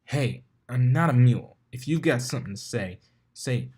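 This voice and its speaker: A man's voice: medium pitch, expressive, moderate pace, a little mellow, husky, voice pushed up. A man voicing a cocky, blunt young male pegasus in a cartoon fan story. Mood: indignant.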